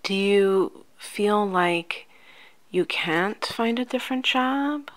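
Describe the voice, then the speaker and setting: A woman speaking softly, at times close to a whisper, in a gentle, soothing ASMR roleplay as a life coach talking to the listener. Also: mid-range voice, animated. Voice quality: soft, often near-whispered. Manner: gentle, unhurried, soothing, addressing the listener directly. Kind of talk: soft-spoken ASMR roleplay.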